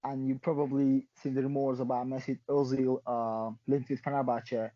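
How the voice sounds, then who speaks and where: A man's voice: medium pitch, level tone, moderate pace, no room sound, lo-fi sound. A man hosting a podcast in a friendly way, asking long rambling questions. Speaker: podcast host.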